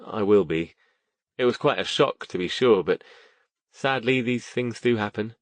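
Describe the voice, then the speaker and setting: A man's voice: on the low side, unhurried, no echo, close to an everyday voice. A man voicing a polite, reserved magician mentor in an audiobook, courteous and understated. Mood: subdued.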